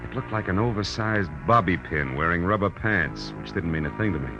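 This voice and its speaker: An actor playing a hard-boiled, sardonic American private detective in 1940s radio crime drama style, with terse, tough talk. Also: male voice, deep voice, expressive, brisk. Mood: tense narration. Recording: muffled old radio recording, loud music.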